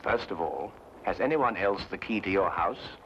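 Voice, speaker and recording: An actor playing a brisk, self-assured detective, speaking precisely and incisively in an old-time radio drama. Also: male voice, low voice, animated. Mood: confident, probing. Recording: dull, noisy old radio recording.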